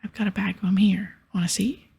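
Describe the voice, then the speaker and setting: Woman whispering as a blustering breathy pirate lookout. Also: mid-range voice, fairly even intonation, brisk, mellow. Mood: indignant. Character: blustering half-wooden male pirate lookout. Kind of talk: whispered ASMR game dialogue.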